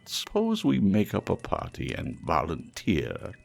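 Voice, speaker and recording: Man playing a gravelly, callous fat businessman. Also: low-pitched, wildly swooping pitch, measured pace, voice pushed up, full character voice. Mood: drily jocular. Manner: offhand, blasé. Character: great fat businessman, bored, callous, drily joking. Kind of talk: dramatised storybook reading.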